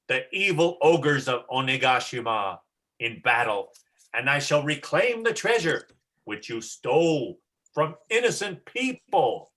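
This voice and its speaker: A man's voice: mid-range voice, sharp tone. A man playing a brave, kindly young hero with earnest, declamatory delivery in a folktale reading. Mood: defiant.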